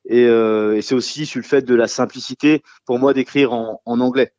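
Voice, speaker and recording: A man's voice: medium pitch, steady tone, normal speed, darkish tone, no echo, dull audio. A man who sings and plays guitar in a rock trio, answering radio interview questions in a casual, hesitant way with many fillers and restarts. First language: French.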